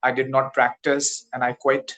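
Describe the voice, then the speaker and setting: A man's voice: mid-range voice, monotone, moderate pace, a bit bright, rough recording. A Pakistani man whose first language is Urdu, an English teacher talking carefully with fillers on a tutoring call. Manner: careful, hesitant, many fillers. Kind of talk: casual conversation practice. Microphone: video call.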